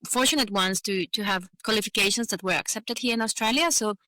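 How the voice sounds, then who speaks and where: A woman's voice: medium pitch, brisk, sharp tone, no echo. A woman with a Venezuelan accent, a native Spanish speaker, talking earnestly and explaining her points in a conversational interview, often adding "you know". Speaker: podcast guest, advocate for migrant professionals.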